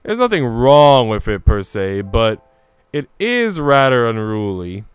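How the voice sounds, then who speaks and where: A man's voice: medium pitch, very animated, a little slow, clear, resonant tone, no echo. Man reading as a playful, genteel teasing lady. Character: teasing, affectionate, proper young lady in visual novel. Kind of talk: visual novel read-aloud. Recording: music playing behind the voice.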